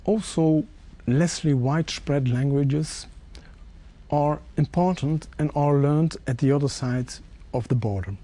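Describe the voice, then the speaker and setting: A man whose first language is Dutch, interviewing an official in a measured way with halting restarts. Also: fairly high pitch, mellow. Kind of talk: formal interview question.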